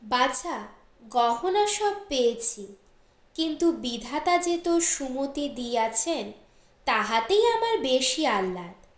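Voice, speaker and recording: A woman's voice: sits high, very animated, slow pace, full character voice. A woman voicing a doting, plain-spoken mother of a grown son in an audiobook story, emphatic and maternal. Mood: pleased, fond. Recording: roomy echo.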